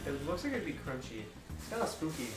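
A young man bantering with his partner on a home cooking stream, teasing and boasting with a deadpan edge. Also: a little high, fast talker. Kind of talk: casual playful banter. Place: home kitchen, indoors. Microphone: room mic from a fixed camera. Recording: echoey kitchen with music behind. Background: background music.

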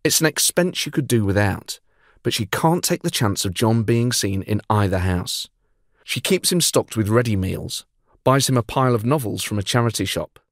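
A man's voice: lowish voice, expressive, normal speed, dry recording. A man narrating a true-crime story as podcast host, with dry, wry asides. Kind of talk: scripted storytelling narration with banter.

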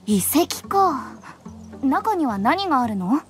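Young anime game heroine, high pitch, expressive range, cool, inquisitive. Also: female voice, slow, soft background music, full character voice. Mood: curious. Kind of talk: Japanese anime game voice acting.

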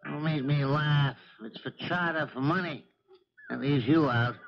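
Scrappy old boat captain, higher-pitched. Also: male voice, unhurried, vocal fry. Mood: irritated. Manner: folksy, blunt, suspicious. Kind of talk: 1970s radio drama acting. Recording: dull 1970s radio recording, faint noise.

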